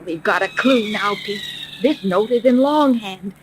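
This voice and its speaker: A woman's voice: medium pitch, expressive, measured pace, close to an everyday voice. An actress playing a decisive woman private detective in a 1930s radio serial, with a dramatic, urgent manner. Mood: urgent, excited. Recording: noisy 1930s radio recording.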